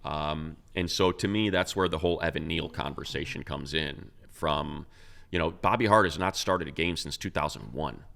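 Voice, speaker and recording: Male sports co-host, American, low-pitched with heavy fry, emphatic and conversational football analysis. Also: moderate pace, sharp tone. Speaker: sports radio announcer and podcast co-host. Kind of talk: casual football analysis chat.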